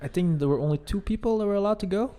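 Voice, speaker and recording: A man co-hosting an interview, asking informal questions in short, halting bursts padded with "like". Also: high voice, warm tone. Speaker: podcast co-host interviewing. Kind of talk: casual chat, questioning.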